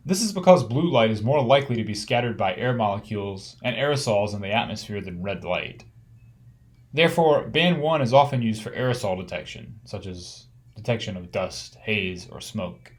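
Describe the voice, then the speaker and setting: A man narrating an instructional slide lecture, explaining things step by step in measured, clearly organised phrases. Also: lowish voice, lively intonation.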